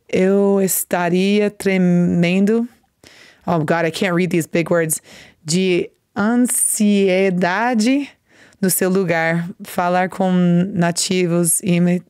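An American woman in her forties, hosting a live video-call English lesson and mixing slow, careful phrasing with halting Portuguese. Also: on the low side, a little mellow, clear, resonant tone, dry recording, studio quality. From United States. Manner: switches between English and halting Portuguese, explains phrases slowly. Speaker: English teacher and host. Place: home room, seated at desk. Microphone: desk microphone on video call.

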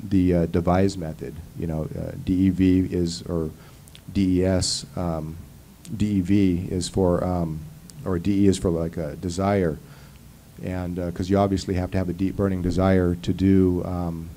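A man's voice: sits low, mellow, a little hiss or hum. A man in his thirties speaking at a conference panel table into a handheld mic, earnest and rambling with many fillers and restarts. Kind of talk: casual panel talk. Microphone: handheld mic on stand.

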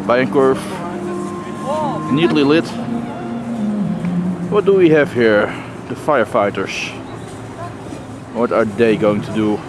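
Slow-paced man vlogging in street noise. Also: medium pitch. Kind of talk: casual vlog commentary on sights. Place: outdoors on busy city streets. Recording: clear outdoor street and traffic noise.

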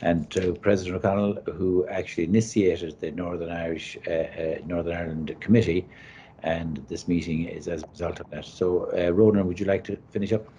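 A man chairing a formal webinar over a video call, speaking in a halting, hesitant way. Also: deep voice, little pitch movement, dark tone. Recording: dull, noisy video-call audio.

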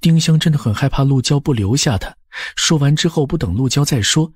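A male audiobook narrator tells a period story from a script. His voice is husky with widely moving intonation, recorded close and dry. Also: mid-range voice, lively intonation, fairly quick, a little mellow, no echo.

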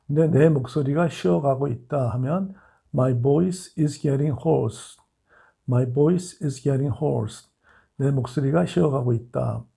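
A man teaching English phrases, explaining in Korean and reading each English example sentence slowly and deliberately. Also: mid-range voice, creaky, mellow, breathy edge.

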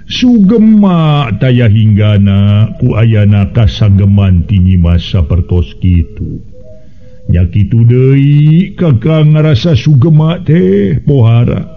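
A man voicing a grateful, dignified king in a radio story, soft-spoken, stately and measured. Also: lowish voice, creaky, full character voice. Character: grateful, dignified king speaking softly to his court. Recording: dull lo-fi radio recording with music.

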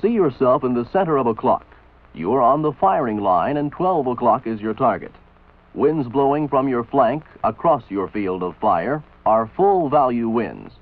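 Male American instructional narrator, measured and commanding, animated intonation, phone-like vintage film sound. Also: medium pitch. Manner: authoritative, measured, didactic. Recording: tinny band-limited old film soundtrack. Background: voice-over for an old military training film.

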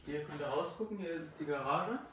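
A man's voice: fairly high pitch, fairly quick, a bit bright, slight background noise. A young man giving a casual house tour in short, estate-agent-like phrases. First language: German. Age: young adult. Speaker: vlog companion showing the accommodation. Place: indoors in a furnished holiday house. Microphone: handheld camera a few steps away. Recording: echoey rooms, off-camera distance, music over it. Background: music.

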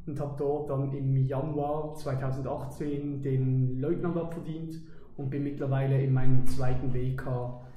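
A man's voice: mid-range voice, little pitch movement, unhurried, mellow, reverberant, a little hiss or hum, low-quality recording. Young man, many fillers. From Basel, Switzerland. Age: 20s. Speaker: interview guest, army lieutenant. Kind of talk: casual podcast interview. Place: outdoors beside an army vehicle.

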